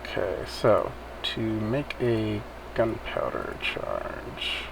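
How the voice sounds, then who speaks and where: Male gamer, lowish gravelly voice, casual commentary, thinks aloud, audible background noise on the recording. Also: very animated, drawn-out words. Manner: thinking aloud, muttering step by step. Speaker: gamer hosting a server event.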